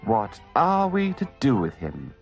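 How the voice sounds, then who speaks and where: A man's voice: mid-range voice, huge pitch swings, a little slow, vocal fry, dull audio, soft background music, full character voice. A man voicing a timid male mole who talks in an anxious, fretful way, as part of a storybook reading.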